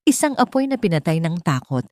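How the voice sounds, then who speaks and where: A woman's voice: on the low side, sing-song, a little fast, a little mellow, no room sound, studio quality. A woman with a Filipino accent reading a scripted dramatic story as its narrator.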